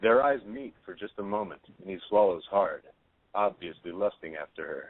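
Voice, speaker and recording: A man's voice: low voice, lively intonation, normal speed, no echo. A man reading stage directions as scripted narration for a play, with narrow phone-like sound.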